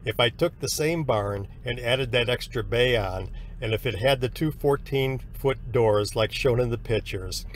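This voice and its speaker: A man's voice: mid-range voice, unhurried, hardly any pauses, slight background noise. An older man hosting a homestead vlog, explaining barn building in a plainspoken, folksy way on a lapel mic. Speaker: homestead channel host. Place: indoor room, later inside a metal pole barn.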